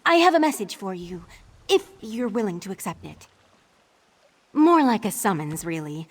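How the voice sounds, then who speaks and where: A woman acting a courteous, slightly wry messenger in a fantasy video game, with mid-pitched delivery. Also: big pitch swings, normal speed. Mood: polite, wry. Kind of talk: video game character dialogue.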